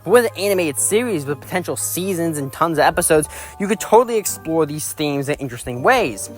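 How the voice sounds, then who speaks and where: A man's voice: fairly high pitch, animated, brisk. A man giving casual, rambling opinion commentary as a video reviewer, in a critical, exasperated manner. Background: background music.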